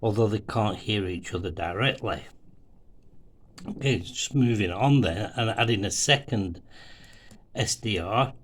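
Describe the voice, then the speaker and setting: A man's voice: on the low side, measured pace, long pauses, a bit bright, slightly rough. A man presenting an amateur radio tutorial, explaining methodically step by step over a demonstration.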